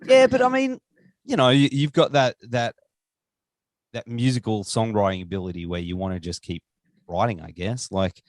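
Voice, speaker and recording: Enthusiastic male podcast host, very animated intonation, dry close sound. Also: lowish voice, normal speed, dry recording. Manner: enthusiastic, chatty, rambling questions. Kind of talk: casual interview chat.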